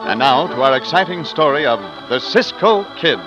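Animated American male old-time radio announcer. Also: on the high side, normal speed. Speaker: announcer and narrator. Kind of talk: vintage radio scripted narration. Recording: dull vintage radio recording. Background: organ music.

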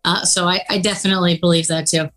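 A woman's voice: fairly low pitch, little pitch movement, fast, a bit bright, faint music behind. Upbeat young New woman. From New York City. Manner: upbeat, giggly, says "like" often. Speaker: real estate agent guest. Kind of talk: casual interview chat.

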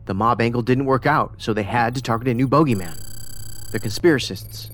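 A man's voice: medium pitch, moderate pace, fairly bright tone, close to an everyday voice. Cerebral male investigator over prominent music, acted by a man. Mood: analytical, intent. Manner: precise, formal, detached, musing. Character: cerebral, pedantic, socially awkward male federal investigator. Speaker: actor in audio drama. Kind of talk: science fiction audio drama acting. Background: music under the voice.

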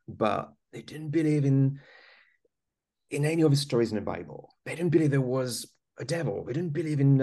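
A man's voice: mid-range voice, dark tone, breathy edge. A man from France with a French accent, a podcast guest telling his life story in an earnest, conversational way. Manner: earnest, many small restarts and "you know".

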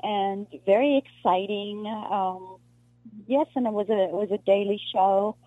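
A woman from South Africa, an actress being interviewed, talking in a halting way with many restarts and fillers. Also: medium pitch, no room sound. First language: Afrikaans. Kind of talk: casual interview conversation. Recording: muffled lo-fi dry recording.

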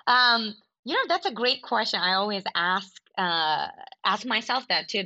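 Young Turkish-born woman, podcast guest, low pitch, reflective, a bit dull-sounding. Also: bright, forceful tone. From Turkey. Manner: thoughtful, with frequent fillers and restarts. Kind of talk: conversational interview answers.

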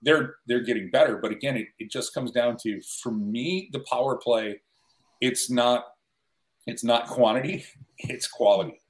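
A man's voice: medium pitch, normal speed. A middle-aged man in casual conversation as a guest sports broadcaster, telling stories with wry, self-deprecating humour. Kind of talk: casual chat, storytelling.

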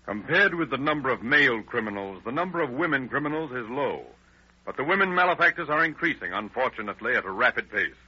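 A man's voice: mid-range voice, animated, fast talker, soft background music. A man narrating an old 1930s radio crime show in a formal, grave, declamatory way. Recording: dull old radio recording.

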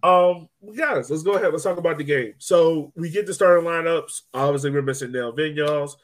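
A man's voice: fairly high pitch, fairly quick. A man hosting a podcast, chatting casually about sports. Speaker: podcast host.

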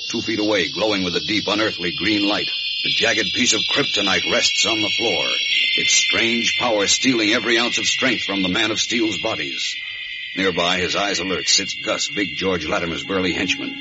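A man's voice: sits low, normal speed. Male vintage radio narrator, dramatic and suspenseful, dull recording, prominent music behind. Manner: suspenseful, theatrical. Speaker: narrator, announcer.

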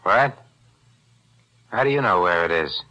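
An actor playing a greedy, streetwise ex-con killer in a 1940s radio drama, with a tough, gruff manner. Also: male voice, low-pitched, lively intonation, measured pace, sharp tone, exaggerated voice, rough recording. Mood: suspicious.

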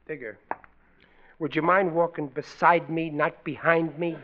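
A man's voice: fairly high pitch, steady tone, measured pace, natural voice. An actor playing a blustering, stubborn middle-aged American father in a 1940s radio sitcom, with emphatic, uneducated diction. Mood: casual. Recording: dull, noisy old radio recording.

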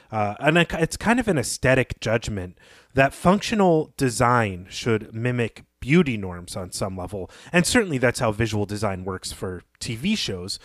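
A man speaks as a podcast co-host in a dry, close recording. His delivery is measured and analytical. He explains literary ideas carefully, at a middle pitch. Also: dry recording. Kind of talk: literary discussion.

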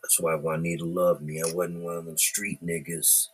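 A middle-aged man hosting his own podcast, talking in a slow, laid-back and profane ramble, musing aloud about whatever is on his mind. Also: sits low, flat delivery, a little hiss or hum. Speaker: podcast host. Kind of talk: casual rambling monologue.

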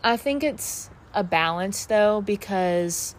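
A woman's voice: mid-range voice, lively intonation, slow. A woman of twenty-one, chatting candidly on her solo podcast, full of fillers. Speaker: podcast host. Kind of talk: casual solo podcast chat. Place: outdoors in her backyard.

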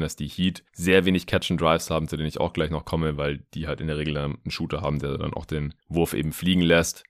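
Guy doing a hoops podcast solo, low voice, explains stuff pretty casually, really dry sound no room. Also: dry recording. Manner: explanatory, informal, with casual asides. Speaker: podcast host and basketball analyst. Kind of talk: conversational sports analysis monologue.

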